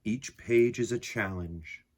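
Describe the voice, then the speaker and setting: A man reading a picture book aloud to children, gently addressing his young listeners with a husky voice. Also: mid-range voice, slow pace. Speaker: storyteller, picture book reader.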